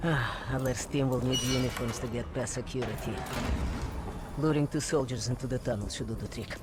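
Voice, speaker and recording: An actor playing a guarded, matter-of-fact Soviet double agent in a video game. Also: male voice, mid-range voice, fairly even intonation, a little fast, heavy background noise, natural voice. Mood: calculating. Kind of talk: cinematic video game voice acting.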